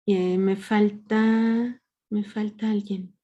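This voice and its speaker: Slow-speaking woman leading a workshop. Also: mid-range voice, level tone, warm tone, muffled recording. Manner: patient, asks the group questions. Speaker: workshop leader. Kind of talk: guiding an online workshop. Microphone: video call.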